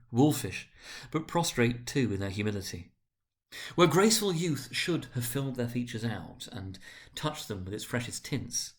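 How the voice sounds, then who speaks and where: A man narrating a literary story dramatically, with deliberate, expressive phrasing. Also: medium pitch.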